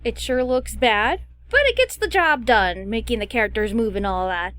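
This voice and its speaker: Casting: young woman animator vlogger, higher voice, goofy and self-deprecating, dry close-mic voice-over. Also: expressive, moderate pace, a bit bright, dry recording. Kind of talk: casual chatty voice-over about own art process.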